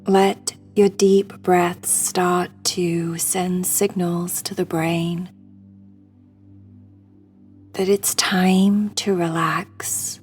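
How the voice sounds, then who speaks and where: A woman guiding a sleep meditation in a hushed, soothing, breathy voice that often nears a whisper. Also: fairly low pitch, flat delivery, very slow, faint music behind. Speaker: meditation guide.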